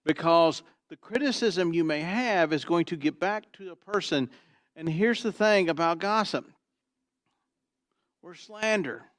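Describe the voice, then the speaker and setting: A pastor with a booming, trained voice preaching an expository sermon, swinging from loud emphasis to quiet asides and asking "Why?" before he answers. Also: lively intonation, measured pace, no echo, clean studio sound. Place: church sanctuary.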